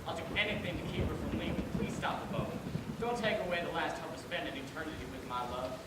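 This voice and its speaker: A man acts out a Confederate soldier's ghost, angry and lovesick, in a high, emotional voice. The delivery is stagy and declamatory, picked up from a distance through very heavy noise. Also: expressive, fast, heavy reverb, close to an everyday voice. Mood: pleading. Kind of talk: theatrical staged reading. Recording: very noisy, distant microphone.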